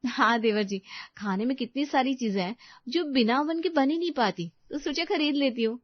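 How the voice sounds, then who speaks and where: A woman's voice: high voice, normal speed, no echo, muffled recording, voice pushed up. A woman voicing a breezy, easily bored young housewife in story dialogue.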